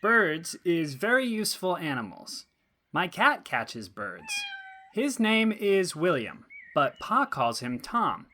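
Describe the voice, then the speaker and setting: An actor playing an earnest, naive schoolboy who reads his composition aloud in a deliberate, declaiming way for an audio drama. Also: high voice, wildly swooping pitch, pushed and bright, a little hiss or hum, exaggerated voice. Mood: earnest, proud. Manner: declaiming, deliberate, with childish grammar. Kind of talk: audio drama letter reading.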